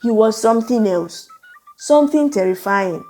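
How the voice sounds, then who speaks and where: A woman narrating a scripted folktale in a measured, suspenseful, dramatic way. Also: on the high side, expressive, mellow, soft background music. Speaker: storyteller, narrator.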